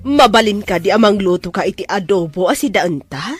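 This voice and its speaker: A woman's voice: medium pitch, animated, a little fast, faint music behind, lightly acted. An actress playing a composed madam giving instructions to her maid in a radio drama.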